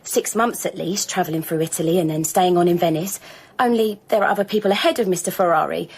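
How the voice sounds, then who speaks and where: An actress playing an anxious, deferential working-class Victorian wife in a radio drama, apologetic and hesitant. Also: female voice, fairly low pitch, fast, a little mellow, husky, poor audio. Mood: timid, pleading.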